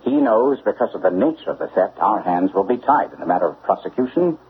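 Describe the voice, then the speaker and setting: Male actor as shrewd, exacting radio detective. Low, animated, formal delivery on a narrow, phone-like old recording. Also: fast talker, slight background noise, close to an everyday voice. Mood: assured, explaining. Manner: precise, formal, incisive delivery. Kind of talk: classic radio drama acting.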